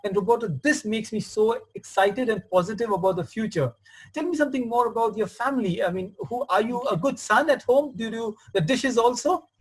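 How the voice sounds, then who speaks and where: A man in his forties hosting an interview, effusive and enthusiastic, quick to praise his guest. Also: high-pitched, slightly rough, poor audio. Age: 40s. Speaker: host. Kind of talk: casual video interview.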